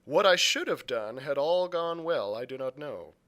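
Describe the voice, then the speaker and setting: A man's voice: fairly high pitch, expressive, moderate pace, fairly bright tone. A man reading a classic adventure story aloud as a youth librarian, in narrated storybook style.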